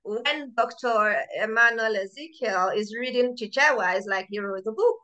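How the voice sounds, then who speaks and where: A woman's voice: a little high, normal speed. Chatty woman hosting a video call. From Nigeria. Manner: chatty and excitable, repeats words when starting sentences. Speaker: lecture chair and chief speaker. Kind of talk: casual hosting of an online lecture.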